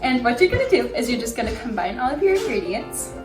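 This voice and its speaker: A woman's voice: on the high side, big pitch swings, fast talker. A young woman presenting a casual step-by-step tutorial. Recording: echoey, distant from the mic. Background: background music.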